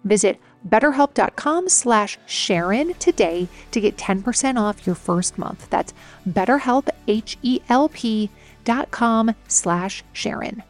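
A woman's voice: fairly high pitch, lively intonation, a little slow. A woman podcast host reading a scripted sponsor message in a conversational style. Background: music.

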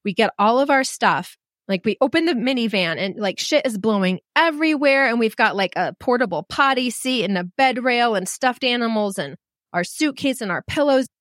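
A middle-aged woman telling a personal story in a frank, animated and self-deprecating way. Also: fairly high pitch, moderate pace, dry recording, studio quality. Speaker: storyteller guest.

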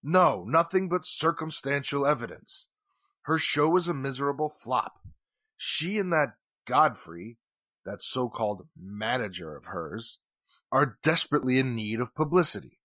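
Actor as deliberate, bitter 1940s radio businessman. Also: mid-range voice, expressive, pushed and bright, dry recording. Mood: indignant, accusing. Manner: deliberate, emphatic, formal phrasing. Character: indignant, formal wealthy businessman, suspicious and bitter divorced husband. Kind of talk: 1940s radio drama acting.

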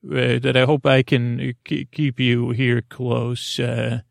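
Creaky-voiced man rambling softly through bedtime talk. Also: mid-range voice, little pitch movement, dry recording, clean studio sound. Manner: meandering, halting, absurd whimsical asides, stumbles over words. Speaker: podcast host. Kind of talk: rambling improvised bedtime monologue to help listeners fall asleep.

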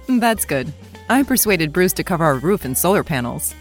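A woman's voice: medium pitch, big pitch swings, slow, faint music behind. A woman playing a sociable, nagging wife in her early forties in an audio drama. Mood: chatty, amused.

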